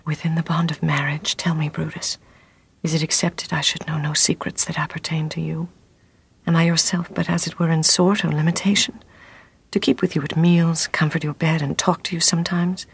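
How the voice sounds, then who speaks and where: Pleading Roman wife, gravelly husky voice, urgent, radio play. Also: female voice, low-pitched, flat delivery, moderate pace, exaggerated voice. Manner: urgent, pleading verse delivery. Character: devoted, pleading Roman noblewoman, a loving wife. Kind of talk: classical stage radio drama.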